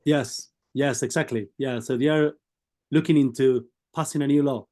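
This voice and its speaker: A man's voice: medium pitch, steady tone, normal speed, warm tone. A man teaching an online class over a video call, patiently prompting students with questions. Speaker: language teacher.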